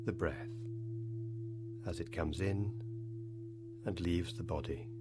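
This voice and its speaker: A man leading a guided meditation, speaking in unhurried phrases with long pauses between them. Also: sits low, music in the background.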